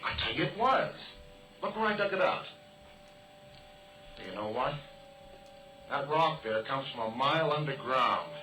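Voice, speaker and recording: An actor playing an insistent, excited oil-rig workmate in an old radio drama, speaking with urgent emphasis. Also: male voice, medium pitch, measured pace, long pauses, soft background music. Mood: urgent amazement. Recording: vintage radio recording, echoey and distant.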